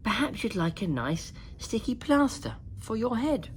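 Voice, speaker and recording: A plucky young princess with a kind heart, voiced by a woman reading a storybook. She is well-spoken and unhurried, with a lifted, lively melody. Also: fairly high pitch, a little hiss or hum, voice pushed up. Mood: kind, sympathetic. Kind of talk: children's storybook character voice.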